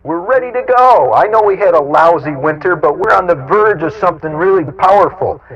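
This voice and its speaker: A man's voice: sits high, lively intonation, brisk, lo-fi sound. A man doing an informal interview, pressing his points emphatically and repeating himself for stress. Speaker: guest interviewer. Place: small equipment-packed studio.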